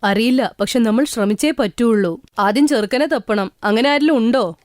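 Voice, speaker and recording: A woman's voice: fairly high pitch, a little fast, darkish tone, no echo, studio quality, close to an everyday voice. A woman voicing a kind, caring elder sister-in-law who soothes and advises. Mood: reassuring. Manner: soothing, friendly.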